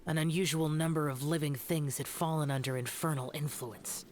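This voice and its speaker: A man's voice: a little high, steady tone, normal speed, a little hiss or hum, close to an everyday voice. Man voicing a cold, aloof young immortal demon slayer. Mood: cold, disdainful. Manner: cold, terse, formal and archaic. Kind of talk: video game voice acting. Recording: game music behind the voice.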